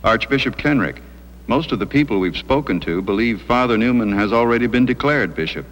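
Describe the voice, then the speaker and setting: An actor playing a sober, courteous documentary reporter, delivering scripted narration and interview questions. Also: male voice, medium pitch, lively intonation, a little fast, a little hiss or hum, close to an everyday voice, rough recording. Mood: sober, inquiring.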